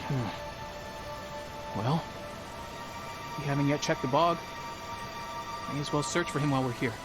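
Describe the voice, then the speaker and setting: A man's voice: on the high side, slow pace, over music. A young man playing a stern, dutiful older brother and Spartan warrior in a video game, curt and commanding with formal phrasing. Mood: dismissive.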